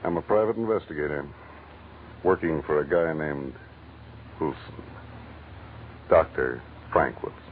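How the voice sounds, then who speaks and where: An actor in a 1940s radio drama playing a low-key, world-weary but kindly private investigator, laconic and casual. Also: male voice, expressive, unhurried, long pauses. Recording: muffled, noisy old radio broadcast recording.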